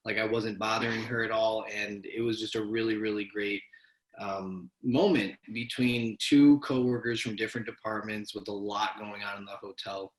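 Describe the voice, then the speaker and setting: Friendly low-voiced man in an echoey room. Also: fairly even intonation, moderate pace. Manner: friendly and conversational, laughs easily. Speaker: podcast host. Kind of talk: casual interview chat.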